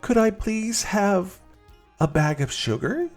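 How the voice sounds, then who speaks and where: A man's voice: high voice, lively intonation, slow, soft background music, pitched above the performer's own voice, exaggerated voice. A man voicing a sleepy, good-natured young male dragon in a children's storybook, boyish and a little whiny. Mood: shy, mumbling.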